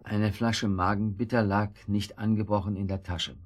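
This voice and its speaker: An actor in a German radio drama playing a guilt-ridden, cowardly man who is groggy and hungover, speaking with a heavy, halting tongue. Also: lowish voice, level tone, faint music behind, lightly acted. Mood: sombre, confessing. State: groggy, hungover after drink and pills.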